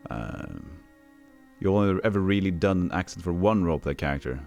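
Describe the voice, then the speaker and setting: A man's voice: deep voice, animated, a little fast, creaky, a little mellow, soft background music. A man from Texas with no regional accent, chatting casually as he streams a retro game, reading game text aloud and answering his chat. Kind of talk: casual gameplay commentary.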